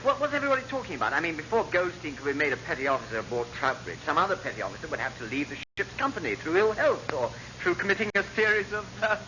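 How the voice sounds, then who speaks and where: Silly-ass posh officer, polite ditherer, lilting high voice, noisy archive radio comedy. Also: male voice, sing-song, very fast, few pauses. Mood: puzzled. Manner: hesitant, vague, polite. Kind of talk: 1950s-60s British radio comedy acting. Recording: muffled, noisy old radio recording.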